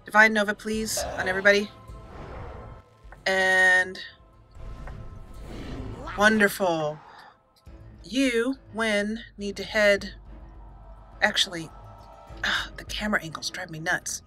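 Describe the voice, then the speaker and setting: A woman gives casual commentary on a video game in a bright, crisp-edged voice of middling pitch. She chats aloud to the characters as she plays. Light music runs behind her. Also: slow pace, pauses often. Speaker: gaming channel host. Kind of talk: casual let's play commentary.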